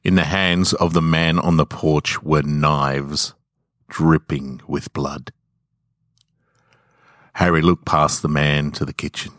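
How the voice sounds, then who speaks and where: A man narrating scripted short stories in a deliberate, measured, dramatic storytelling manner. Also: a bass voice, steady tone, fairly bright tone, dry recording, clean studio sound. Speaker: podcast host reading short stories.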